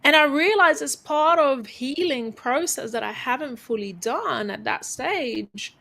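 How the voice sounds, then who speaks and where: A woman's voice: high voice, lively intonation, measured pace, gravelly. A woman guest on an interview show, telling a personal story in an earnest, coaching way and repeating phrases for emphasis. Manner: calls herself quiet-voiced. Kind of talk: reflective motivational storytelling.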